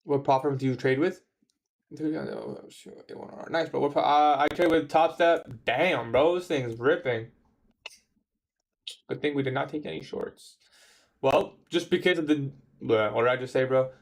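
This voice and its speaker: A man's voice: medium pitch, a little slow, vocal fry. A man in his twenties chatting casually on a trading livestream, answering viewer questions in a laid-back, slangy way. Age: 20s. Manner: laid-back, slangy, says bro a lot. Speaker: livestream trader.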